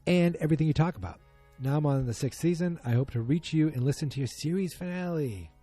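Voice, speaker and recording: A man's voice: a little high, fast, mellow, faint music behind. A man hosting a solo podcast, chatting casually in a rambling, self-deprecating, joking way. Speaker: podcast host.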